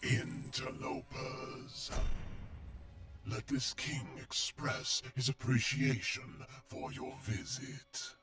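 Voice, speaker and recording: A man's voice: mid-range voice, little pitch movement, extremely slow, a bit bright. A man playing an ancient, soulless robot overlord in an audio drama, mocking and pompous, with music behind. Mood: contemptuous. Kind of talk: scripted audio drama acting.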